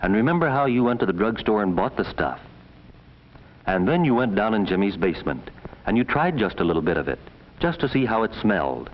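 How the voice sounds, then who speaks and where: A man narrating a 1950s educational film as a stern, measured voice reproachfully addressing schoolboys. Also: on the low side, big pitch swings. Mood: grave, reproachful. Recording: dull vintage 1950s film soundtrack.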